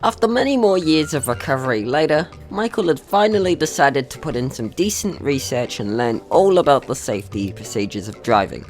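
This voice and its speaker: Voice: mid-range voice, big pitch swings, brisk, hardly any pauses, faint music behind. A young person narrating a scripted story in a mock-serious way. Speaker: video creator narrating own short film.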